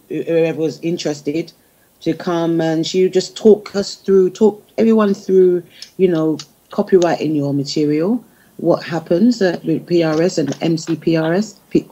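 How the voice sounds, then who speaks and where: A woman's voice: sits low, steady tone, moderate pace, mellow, low-quality recording. A woman from the UK speaking casually as a guest in a talk-show discussion. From United Kingdom.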